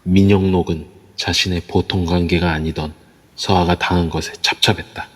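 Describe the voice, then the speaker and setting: A man's voice: low voice, fairly even intonation, moderate pace. A man reading scripted narration for an audio drama. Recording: long reverb throughout.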